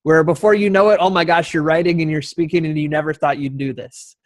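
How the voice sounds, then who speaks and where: A man's voice: on the high side, fairly even intonation, brisk, no room sound. A man moderating a discussion in an unscripted, encouraging and appreciative way. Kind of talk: spontaneous event moderation.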